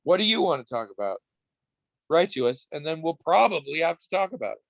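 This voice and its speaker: A man's voice: a little high, big pitch swings, brisk, gravelly, no echo, dull audio. Man, podcast co-host, rambling wry chat, dry close mic. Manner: rambling, swears casually, wry asides. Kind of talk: casual chat.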